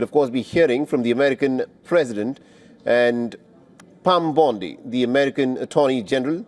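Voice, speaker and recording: A man's voice: medium pitch, a little mellow. Male news anchor presenting breaking news. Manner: measured, clear newsreader delivery.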